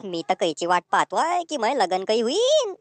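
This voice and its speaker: A woman's voice: fairly high pitch, wildly swooping pitch, moderate pace, no room sound. A woman voicing a cheeky, suspicious young village man in a comedy cartoon, with a rural Ahirani accent from north Maharashtra. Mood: joking. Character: cheeky young unmarried village brother-in-law, suspicious, quick-tongued.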